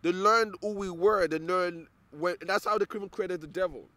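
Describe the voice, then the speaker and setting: A man's voice: high-pitched, fairly even intonation, normal speed, pushed and bright, dry recording. A man from Nigeria, an interview guest, talking earnestly and restarting words as he searches for them. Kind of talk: casual interview conversation.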